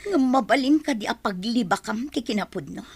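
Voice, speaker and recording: A woman playing an anguished, remorseful mother in a radio drama, speaking in a husky, plaintive, earnest voice. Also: fairly high pitch, lively intonation, fairly quick, no echo. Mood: pleading. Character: anguished, remorseful mother longing for her children. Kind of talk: Ilocano radio drama acting.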